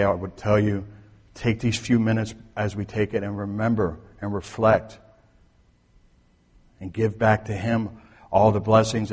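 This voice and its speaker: Husky-voiced male pastor preaching in a reverberant hall. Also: lowish voice. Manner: conversational preaching, swings from soft to emphatic. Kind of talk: sermon. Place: church sanctuary.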